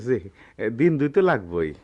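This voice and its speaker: A man's voice: mid-range voice, very animated, measured pace, muffled recording. A man playing a humble, worried middle-aged village goldsmith and father, speaking a Bangladeshi rural dialect in a radio drama. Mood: deferential. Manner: deferential, pleading. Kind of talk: Bengali radio drama acting.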